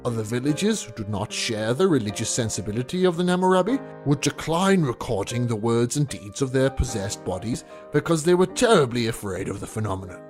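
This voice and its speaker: A man's voice: mid-range voice, big pitch swings, a little fast, hardly any pauses, darkish tone, lightly acted. A man playing a bookish academic researcher in an audio story, narrating his findings on a legend in formal, scholarly lecture style. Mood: measured, scholarly. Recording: music under the voice.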